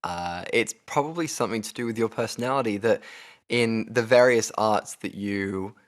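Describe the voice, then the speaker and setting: A man with a slightly low voice co-hosts an interview about music. He asks his questions conversationally and informally. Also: moderate pace. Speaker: interview co-host. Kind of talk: casual interview chat.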